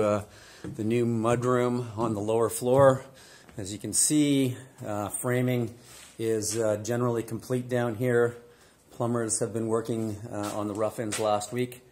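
Man touring a building site, many "uh"s. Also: fairly low pitch. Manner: relaxed, many "uh" fillers, upbeat. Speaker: builder giving a site tour. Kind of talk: casual walkthrough to camera. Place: outdoor construction site, then inside a framed house. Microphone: phone or camera held at arm's length.

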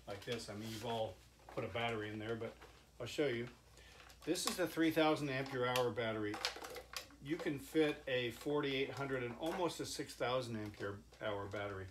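Older man in a noisy, echoey workshop. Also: medium pitch. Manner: halting, folksy, informal. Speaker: inventor demonstrating a homemade product. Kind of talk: casual product demonstration. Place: indoor cluttered home workshop. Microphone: camera mic a few steps away. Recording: echoey workshop with background noise.

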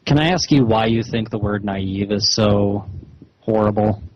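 A man speaking as a caller on a phone, in a measured, hesitant but insistent way, with slightly muffled sound. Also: fairly low pitch, a little hiss or hum. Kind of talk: slow, halting phone conversation. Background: phone call recording.

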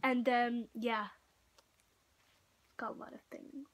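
A teenage girl from Portugal vlogging casually to camera in a room at home, talking in a halting way full of "like". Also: on the high side, little pitch movement, a bit bright. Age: teenager, about 15. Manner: halting, frequent "like" and trailing off. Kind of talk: casual chatty show-and-tell to camera. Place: small room at home, indoors. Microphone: built-in device mic at arm's length or a bit further.

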